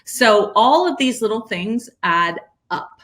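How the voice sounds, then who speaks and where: Fifty-something woman pitching a webinar, upbeat and persuasive, talks right to you. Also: expressive, slow, fairly bright tone. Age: 50s. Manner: upbeat, persuasive, addresses viewers directly. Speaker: webinar co-host. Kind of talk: promotional webinar chat.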